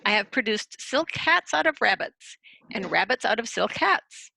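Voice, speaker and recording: A woman's voice: on the high side, lively intonation, normal speed, gravelly, bright, forceful tone, no echo, heavily acted, poor audio. A woman playing a proud, defensive royal wizard in a storybook readers theater, reciting in a grand, declamatory way. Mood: boastful.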